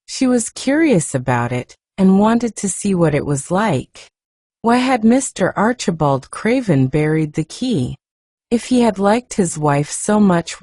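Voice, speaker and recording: A woman softly reading a classic story aloud in a gentle, hushed narrating style. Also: fairly low pitch, dry recording, clean studio sound. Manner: soft, hushed, gentle delivery. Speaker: audiobook reader.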